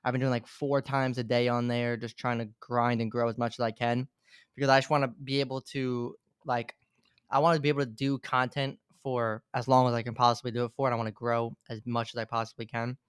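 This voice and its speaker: A man in his twenties, a guest on a podcast, talking in a relaxed way with lots of filler words. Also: mid-range voice, fairly quick, smooth, no echo, clean studio sound. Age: 20s. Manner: relaxed, fills talk with "like". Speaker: podcast guest, gaming content creator. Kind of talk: casual interview chat.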